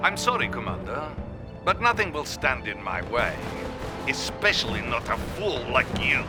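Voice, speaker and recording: Fields. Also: male voice, mid-range voice, big pitch swings, normal speed, loud music behind the voice, natural voice. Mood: menacing. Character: ruthless power-mad male Soviet premier. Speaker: Soviet Premier. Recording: score and gunfire under voice.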